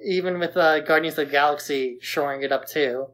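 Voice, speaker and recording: A man's voice: fairly high pitch, a little fast, a bit bright. A man co-hosting a podcast, discussing films in a casual, conversational style. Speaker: podcast co-host.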